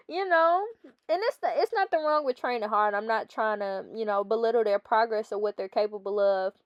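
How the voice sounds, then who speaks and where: A woman's voice: a little high, normal speed, a little mellow, clear, resonant tone, dry recording, highs cut off. A young woman with a stuffy nose, chatting casually as a fitness vlogger over her workout footage and often calling her viewers "guys.".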